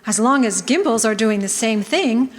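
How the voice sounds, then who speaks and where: A woman playing a brisk, eager department store businesswoman in a stage radio drama, polite and keen. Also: on the high side, expressive, lightly acted. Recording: echoey hall stage recording.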